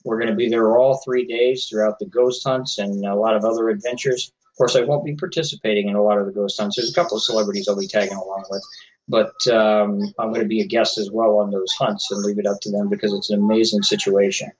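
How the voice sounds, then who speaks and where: A man's voice: lowish voice, fairly even intonation, fast. A man hosting a paranormal radio show, talking earnestly and lecturing his listeners while losing his voice. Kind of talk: solo talk radio monologue. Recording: slightly dull lo-fi audio.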